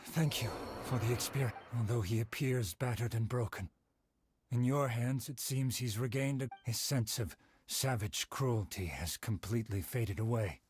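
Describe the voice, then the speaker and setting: A man's voice: medium pitch, normal speed, darkish tone, slightly rough, natural voice. A man voicing a humble, earnest young swordsmith in an anime game, formal and polite. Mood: solemn.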